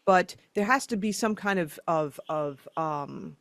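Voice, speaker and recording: A woman's voice: lowish voice, unhurried, a bit bright, no echo. Rambling woman podcast host, dry sound. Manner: rambling, filler-heavy. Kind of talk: interview chat.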